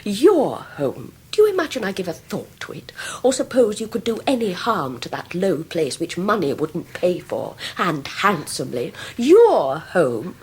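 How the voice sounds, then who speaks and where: A haughty Victorian lady of the English upper class for radio drama. Her voice is very breathy and husky, swinging widely in pitch. She speaks with slow, biting disdain and vindictive theatrical force. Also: sing-song, a little mellow, exaggerated voice, rough recording. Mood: icy scorn. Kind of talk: 1990s radio drama acting.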